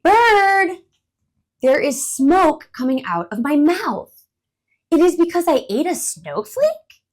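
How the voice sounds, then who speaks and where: A woman doing an excitable little squirrel. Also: slow pace, heavily acted. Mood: excited. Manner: exclamatory, high and loud, childlike questions. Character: excitable little male squirrel, curious and fussy. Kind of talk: storybook read-aloud voice.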